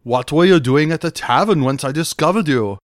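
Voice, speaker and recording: A man voicing a gruff, blunt barbarian warrior in a fantasy story, with loud archaic declaiming. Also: a little high, expressive, a little fast, no room sound, clean studio sound, voice pushed up, close to an everyday voice. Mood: brash.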